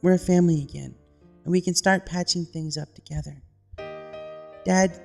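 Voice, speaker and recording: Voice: medium pitch, creaky, big-room sound, close to an everyday voice. A narrator voicing an earnest young female pegasus reading out heartfelt storybook letters.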